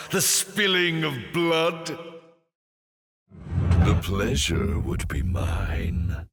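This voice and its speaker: An actor playing a sardonic fallen demon lord in a video game, with urbane, mocking delivery and dramatic flourishes. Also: male voice, low voice, creaky, fairly bright tone, full character voice. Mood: coaxing, grandiose. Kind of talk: theatrical video game character acting. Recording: long cavernous reverb.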